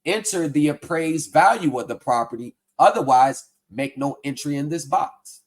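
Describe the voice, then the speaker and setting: A man's voice: a little high, expressive, normal speed. A man hosting a livestream, casually walking through a tax form and skeptically picking apart bad advice. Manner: conversational, skeptical, reads form text aloud. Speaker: livestream host debunking scams. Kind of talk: casual explanatory commentary.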